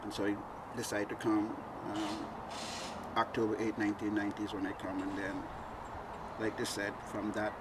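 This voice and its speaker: A man from Antigua speaking in a laid-back, unhurried way with pauses, in a documentary interview. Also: on the low side, completely flat delivery, distant mic, very noisy.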